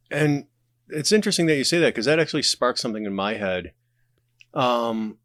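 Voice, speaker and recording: Podcast guest guy, average pitch, thinking out loud casually, no room sound at all. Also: dry recording, clean studio sound. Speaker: podcast guest, audio drama creator. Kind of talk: casual reflective conversation.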